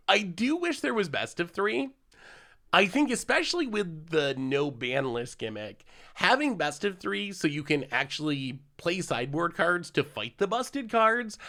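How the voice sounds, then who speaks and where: Man, live-stream game commentary, congested from a cold, lively bouncing pitch, gravelly edge, chatty and laughing. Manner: chatty, laughs often, thanks chat subscribers. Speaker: streamer playing a card game. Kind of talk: casual live-stream commentary while playing.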